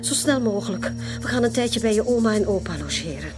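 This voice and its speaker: A woman's voice: mid-range voice, lively intonation, a little fast, music in the background, lightly acted. An actress playing an anxious, insistent middle-aged Flemish wife and mother in a radio play. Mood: alarmed, urgent. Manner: worried, urgent, insistent.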